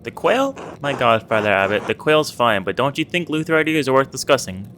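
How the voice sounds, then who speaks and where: A man's voice: medium pitch, sing-song, fairly quick, fairly bright tone, slight background noise. A man voicing an opinionated nobleman in a historical video game, expansive and insistent. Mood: enthusiastic. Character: opinionated nobleman baron, keen on new ideas. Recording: music under the voice.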